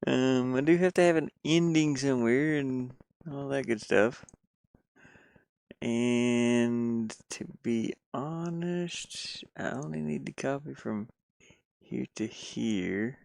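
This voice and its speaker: A man's voice: mid-range voice, takes it slowly, gravelly, darkish tone, dry recording. A man talking himself through his work in a casual think-aloud commentary, muttering playfully. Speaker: game developer streaming his pixel art work.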